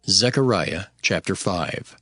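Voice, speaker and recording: A deep-voiced man announces chapter headings very slowly. The reading is scripted and formal, with music underneath. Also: lively intonation, fairly bright tone.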